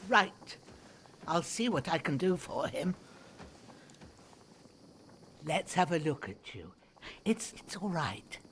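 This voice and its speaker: A cautious, kindly healer in a game drama. The voice is high and lively, with faint outdoor noise. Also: male voice, unhurried. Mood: concerned. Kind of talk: video game cutscene acting.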